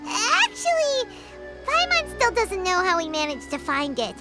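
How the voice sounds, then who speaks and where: A woman voicing a small, childlike, excitable fairy companion in a video game, squeaky and exclamatory. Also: takes it slowly, full character voice. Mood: chatty, exasperated. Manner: refers to self in third person, exclamatory. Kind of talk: English video game voice acting. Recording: game music behind the voice.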